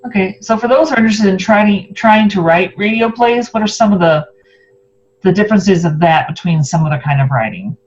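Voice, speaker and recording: A woman's voice: medium pitch, fairly quick, a little mellow, slight background noise. A woman hosting an informal interview show, asking questions. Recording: dull lo-fi sound.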